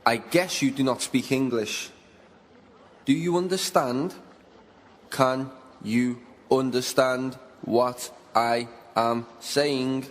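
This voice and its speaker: A man's voice: mid-range voice, steady tone, slow pace, close to an everyday voice. A man playing a formal, conscientious 17th-century English builder and slave owner who is troubled, in a radio drama with reflective narration. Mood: firm. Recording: music bed behind the voice.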